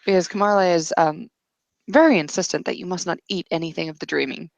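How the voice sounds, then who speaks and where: A woman narrating an improvised tabletop roleplaying story as game master, in a hesitant, descriptive way with pauses. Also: on the low side, gravelly, no echo.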